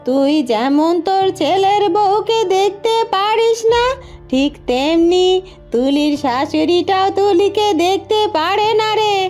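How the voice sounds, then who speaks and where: Woman voicing a very high-pitched elderly aunt. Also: expressive, slow pace, darkish tone, soft background music, heavily acted. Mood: admonishing. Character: elderly visiting aunt, frank, weary, kindly advising. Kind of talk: Bengali moral-tale cartoon dubbing.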